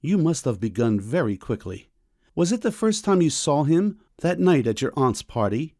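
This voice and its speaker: A man playing a stern, middle-aged doctor and father in a period audiobook drama, with a measured, formal, coolly ironic manner. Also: fairly high pitch, very animated, mellow, dry recording, clean studio sound. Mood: dry, questioning. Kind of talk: full-cast audiobook period drama acting.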